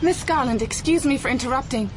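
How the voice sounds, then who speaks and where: Casting: actress as young starstruck dancer, gushing, highish voice, radio play, clear street noise behind. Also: female voice, fast, close to an everyday voice. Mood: eager, starstruck. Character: eager, starstruck young chorus dancer, nervy. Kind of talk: radio drama acting.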